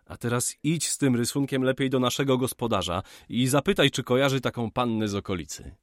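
A supportive young friend acted by a man in a period radio drama. He talks in an encouraging, friendly way at a medium pitch, with a very dry sound. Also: fairly quick, dry recording, lightly acted.

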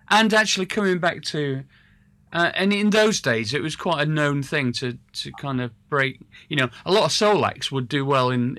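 A man interviewing a guest in a relaxed, rambling way, full of hesitations and restarts. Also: medium pitch, no echo. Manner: rambling, hesitant, self-correcting. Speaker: interviewer and musician. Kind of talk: casual conversational interview.

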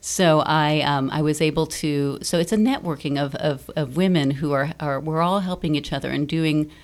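A woman's voice: deep voice, moderate pace. A middle-aged woman talking as a guest in a radio studio interview, earnest and often circling back to rephrase. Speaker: stage play director and university teacher, interview guest. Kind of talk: conversational radio interview talk.

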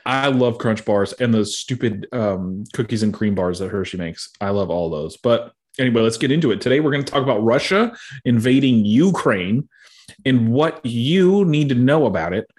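A man's voice: mid-range voice, lively intonation, moderate pace, a little mellow, slightly rough. A man in his thirties co-hosting a casual podcast, talking loosely with lots of fillers and frequent laughter. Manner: rambling, laughs often, many fillers. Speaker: podcast co-host.

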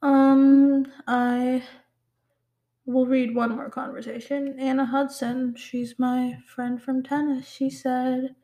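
A woman's voice: sits high, little pitch movement, slow, dark tone. A teenage girl of Pakistani heritage hosting her own podcast, rambling and teasing herself with lots of "like" and "um". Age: teens. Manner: rambling, self-teasing, full of "like" and "um". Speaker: podcast host. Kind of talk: casual solo podcast chat.

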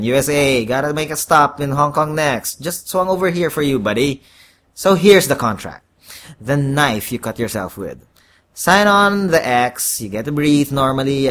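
A man's voice: mid-range voice, fairly bright tone, lightly acted. A man voicing an impatient, weary devil who talks like a streetwise, wisecracking salesman in an audio story. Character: brash, impatient horned devil, a weary wheeling-dealing salesman.

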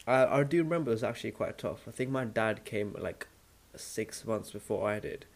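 A man's voice: fairly low pitch, brisk. A young man in his twenties, raised in India and London, chatting casually as a podcast co-host with dry, teasing humor. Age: 20s. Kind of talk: casual chat.

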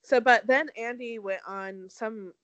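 A woman's voice: a little high, unhurried, fairly bright tone, no room sound, dull audio. A young woman from Connecticut co-hosting a casual gossip chat, blunt and catty, laughing often and filling with ums and likes. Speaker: podcast co-host.

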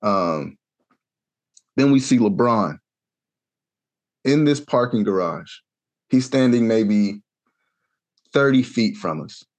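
A young adult man from Kansas telling a long, animated story in a casual podcast chat, acting out the voices he quotes. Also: fairly low pitch, slow, pauses often. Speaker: podcast co-host.

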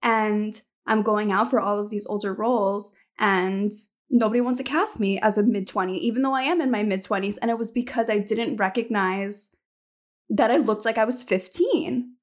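A woman's voice: medium pitch, normal speed. A woman in her thirties talking casually about acting, rambling and filling her sentences with "like". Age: 30s. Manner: rambling, heavy use of "like". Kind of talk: casual chat.

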